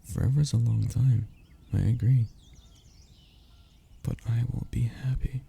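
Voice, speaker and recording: A man playing a young, tender, self-deprecating lover in an intimate romantic ASMR roleplay, teasing softly in a low, husky, gravelly voice. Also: fairly even intonation, unhurried, full character voice. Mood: loving. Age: young adult.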